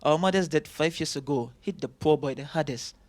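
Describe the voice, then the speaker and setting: An actor playing an affectionate, teasing young doctor and favoured son in an audio drama, earnest and playful. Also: male voice, fairly high pitch, a little fast, a little mellow, dry recording, close to an everyday voice. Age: young adult.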